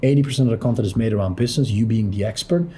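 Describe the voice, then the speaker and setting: A man talking through a screen-share tutorial as a business coach in a lowered late-night voice, blunt and pushy, swearing freely. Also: racing, warm tone, slight background noise.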